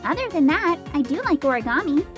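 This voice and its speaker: A woman's voice: high-pitched, sing-song, brisk, music in the background. A woman voicing a quirky, opinionated schoolgirl in an audio drama, chatty, bubbly and emphatic. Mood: chatty, upbeat. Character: quirky, perky, opinionated schoolgirl obsessed with weather. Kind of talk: audio drama character acting.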